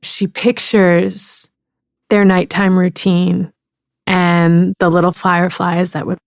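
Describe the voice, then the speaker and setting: A woman with a slightly low voice tells her character's part in a tabletop game. She speaks slowly and descriptively, in a very dry, close recording. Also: flat delivery, gravelly, no room sound.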